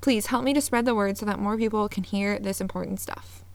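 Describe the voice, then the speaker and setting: A woman's voice: medium pitch, a little fast, vocal fry, no room sound. A woman hosting a podcast, earnest and upbeat, reading her intro and then chatting with a guest. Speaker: podcast host. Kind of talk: scripted intro then casual interview.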